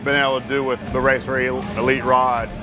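A man's voice: medium pitch, level tone, moderate pace. A man in his forties from a race team talking about engine testing, casual and hesitant with many fillers. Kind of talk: promotional interview. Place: indoor race shop with bike behind. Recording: loud music behind.

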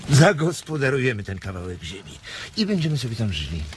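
An actor in a radio drama playing a blunt, weary ranch hand who dreams of his own farm, speaking colloquially with hesitant pauses. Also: medium pitch, very animated, close to an everyday voice. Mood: eager. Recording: noisy ambience behind the voice.

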